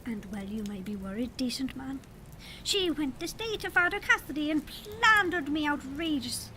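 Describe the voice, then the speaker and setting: High-pitched fiery leprechaun king. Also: animated, normal speed, pushed and bright, slight background noise, rough recording. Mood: indignant. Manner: indignant, old-fashioned Irish storybook phrasing. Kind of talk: audio drama character acting.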